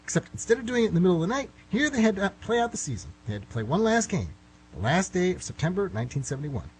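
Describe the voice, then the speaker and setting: A man's voice: high voice, a little hiss or hum, low-quality recording. A man acting a flustered, middle-aged guy who nervously rambles and corrects himself in an amateur radio drama. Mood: rambling, exasperated. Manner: nervous, halting, self-correcting, excitable. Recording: slightly rough amateur radio recording.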